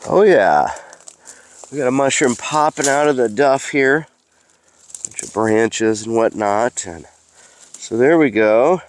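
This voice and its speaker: A man's voice: mid-range voice, slow, long pauses. A man with a stuffy nose, a mycology educator and host, chatting outdoors in a forest as he explains what he finds, with frequent fillers. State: stuffy from allergies. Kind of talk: casual outdoor teaching vlog.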